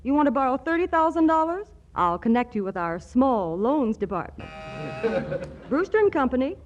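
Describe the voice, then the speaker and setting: An actress playing a brisk switchboard operator in 1940s radio comedy, with sing-song professional greetings. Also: sits high, big pitch swings. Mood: brisk, businesslike. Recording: dull, noisy old radio recording.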